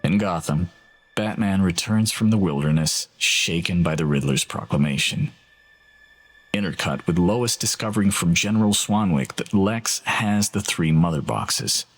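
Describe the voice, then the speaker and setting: A man's voice: low voice, moderate pace, husky. A man narrating a scripted, dramatic comic-book story. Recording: voice under loud music.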